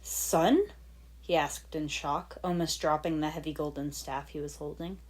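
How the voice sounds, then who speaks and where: A woman's voice: sits low, flat delivery, moderate pace. A woman reading fan fiction aloud as dramatic scripted story narration. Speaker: fan fiction podfic reader.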